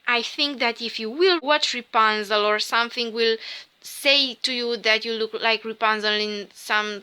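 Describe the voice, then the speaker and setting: Slow, bright-voiced Romanian woman. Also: on the high side, smooth. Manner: slow, musing, dreamy. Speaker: tarot reader. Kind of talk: rune reading.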